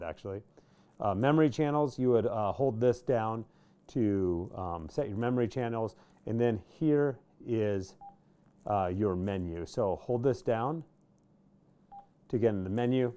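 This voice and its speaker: An American man, around his thirties, giving a casual product demonstration in an informal, halting way with pauses. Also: lowish voice, dark tone. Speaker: product review presenter. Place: small indoor workshop studio.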